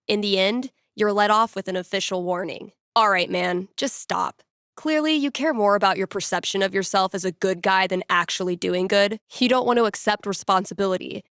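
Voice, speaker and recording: A woman's voice: medium pitch, a bit bright, no room sound, lightly acted. A woman playing a sardonic, candid young female radio DJ in a video game, talking in a dry, casual, conversational way. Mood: playfully deadpan. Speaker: radio host.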